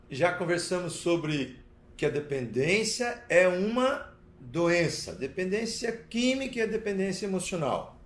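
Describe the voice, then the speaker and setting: A man gives a personal testimony in a notably high-pitched voice. He speaks deliberately, in short phrases with pauses and checking tags. The recording is clearly echoey. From interior of Paraná, southern Brazil. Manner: deliberate, pausing between short phrases, seeks agreement with tags. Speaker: recovery talk speaker. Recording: echoey, roomy sound.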